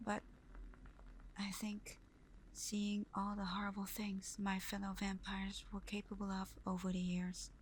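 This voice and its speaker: A woman playing a tender, devoted vampire girlfriend in an ASMR roleplay, speaking intimately in a soft, breathy whisper with gentle pauses. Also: mid-range voice, slight background noise, close to an everyday voice, rough recording.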